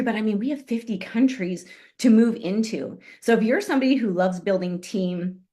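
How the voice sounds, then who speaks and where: A woman giving an upbeat motivational business training talk on a call, fighting a cough and often clearing her throat. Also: mid-range voice. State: coughing, voice strained. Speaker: guest speaker on a business call.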